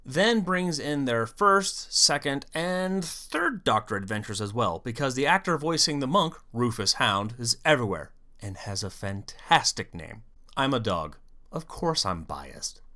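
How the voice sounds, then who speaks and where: A video host delivering scripted fan commentary in an animated, storytelling way with wry asides. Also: medium pitch, a little slow. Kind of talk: scripted fan commentary on an audio drama series.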